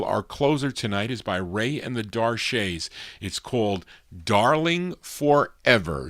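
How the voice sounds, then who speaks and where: A man with a baritone voice chatting casually and in a rambling, folksy way as the host of a live vintage record show. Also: fairly bright tone, no echo, studio quality. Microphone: studio microphone.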